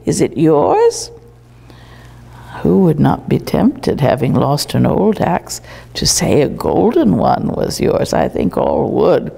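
An actress plays a genteel old colonial lady telling a children's fable. Her voice is very low and creaky, with formal, deliberate phrasing that swings in pitch. It rings in a reverberant room. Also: wildly swooping pitch, dark tone, exaggerated voice. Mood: genteel, instructive. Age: older, 60s or above. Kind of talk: storytelling, reading a fable aloud to children. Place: small indoor room, seated.

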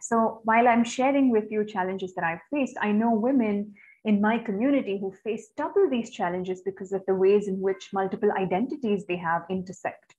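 A soft-voiced woman from India, answering a webinar question in an earnest, reflective, articulate way over a video call. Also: mid-range voice, moderate pace. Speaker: guest speaker. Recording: slightly dull video-call audio.

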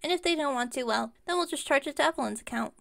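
A woman's voice: high voice, quick pace, creaky, fairly bright tone. A woman playing an enthusiastic, scatterbrained young witch shopkeeper in an ASMR roleplay, chatting and rambling to the listener. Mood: friendly, rambling. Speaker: voice actor in audio roleplay. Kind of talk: ASMR roleplay, one-sided conversation with listener.

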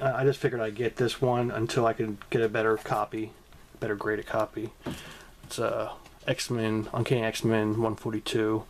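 A man's voice: medium pitch, vocal fry, a little hiss or hum. Rambling casual man showing off comics. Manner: rambling, hesitant, many ums and restarts. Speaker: comic collector showing his haul. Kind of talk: casual vlog talk to camera. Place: home room in front of a TV.

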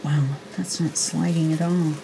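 A woman's voice: low voice, level tone, measured pace, gravelly, noisy background. A woman of about sixty chatting casually through a craft demonstration, thinking aloud in a folksy, self-deprecating way. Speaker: crafter presenting a tutorial. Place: indoors at a craft desk.

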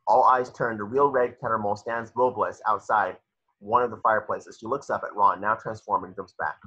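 Husky, forceful low-voiced male narrator. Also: fairly even intonation, brisk. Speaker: narrator reading stage directions. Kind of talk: screenplay narration at a table read. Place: home, video call. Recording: dull, lo-fi video call.

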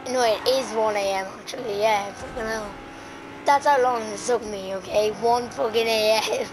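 A woman's voice: on the high side, fairly even intonation, moderate pace, noisy background. A young woman giving casual, sweary gameplay commentary, exhausted, with her voice nearly gone. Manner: slangy, sweary, addresses viewers as boys. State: exhausted and hoarse. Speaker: gaming video creator.

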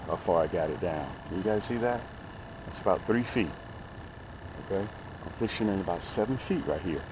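A middle-aged man talking to viewers in a casual, folksy fishing vlog, emphatic and fond of repeating phrases. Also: on the low side. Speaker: host of a fishing channel. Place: outdoors on a small boat on a lake. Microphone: action camera mounted near him. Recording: outdoor noise, small action camera.

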